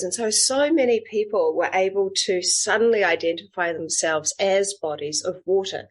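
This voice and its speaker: A woman with a New Zealand accent answers interview questions at a steady, thoughtful pace, pausing now and then to find her words. Her voice has a middle pitch. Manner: thoughtful, measured, some hesitations. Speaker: podcast guest. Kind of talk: interview explanation.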